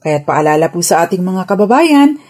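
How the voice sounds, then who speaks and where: A woman with a Filipino accent giving a scripted legal explainer on radio as a lawyer, formal, measured and instructive. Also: fairly low pitch, very animated, darkish tone.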